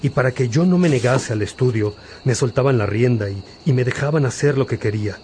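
A reflective, fatherly priest, acted by a man dictates his confessions in a radio drama. He is earnest and rhetorical, with a mid-pitched voice over faint cricket ambience. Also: a little fast, warm tone, a little hiss or hum, lightly acted. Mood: firm, reflective. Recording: insect-like night ambience behind voice.

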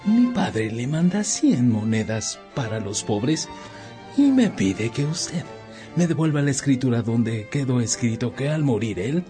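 A man's voice: medium pitch, very animated, measured pace, mellow. A man acting as a well-born, sheepish heir in a radio drama, making a polite and hesitant request. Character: well-born son seeking back his inheritance, sheepish. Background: music.

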